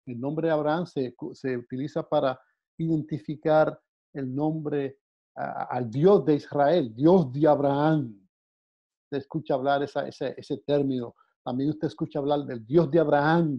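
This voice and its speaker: A man from the Dominican Republic teaching a Bible lesson off the cuff, with frequent hesitations and repeated words. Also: on the high side. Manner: halting, many "eh" fillers and repeated words. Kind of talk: unscripted Bible class talk. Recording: dull lo-fi sound.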